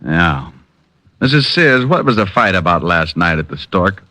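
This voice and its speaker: A man's voice: low-pitched, big pitch swings, pushed and bright, no echo, exaggerated voice. An actor playing a tough, wisecracking male private detective in an old radio drama, who also narrates the story. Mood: wry. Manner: wisecracking, quick comebacks. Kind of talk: 1950s radio drama acting.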